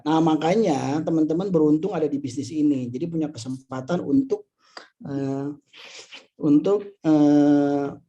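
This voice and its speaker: A man's voice: fairly high pitch, warm tone, highs cut off. A man of about fifty with an Indonesian accent gives an informal motivational talk, conversational and full of tag phrases and rhetorical questions. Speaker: insurance agency leader and motivational speaker.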